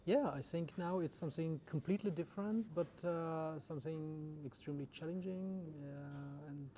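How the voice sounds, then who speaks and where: A man who grew up in the Czech Republic, an orchestra's general manager, answering interview questions in a halting way with many repeated words. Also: on the high side, warm tone. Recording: noisy background.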